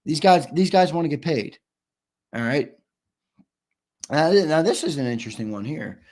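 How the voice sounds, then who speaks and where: A man hosting a live sports talk stream, reading viewer comments and arguing back, emphatic and repetitive, his voice going hoarse. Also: a little high, expressive, normal speed, a little mellow. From United States. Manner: argumentative, emphatic, repeats himself for stress.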